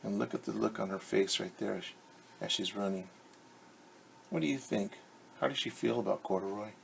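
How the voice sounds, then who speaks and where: A male teacher reading a picture book aloud to children, pausing to explain words and ask questions. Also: on the low side, vocal fry, a little hiss or hum, low-quality recording. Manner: warm, questioning teacher talk to kids.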